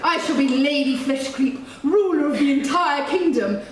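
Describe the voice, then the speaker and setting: A woman's voice: high-pitched, normal speed, close to an everyday voice. A woman playing a gleefully wicked, sneering pantomime villainess on a theatre stage, gloating melodramatically. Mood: mocking, gloating. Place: theatre stage before an audience. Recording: echoey theatre with clear noise.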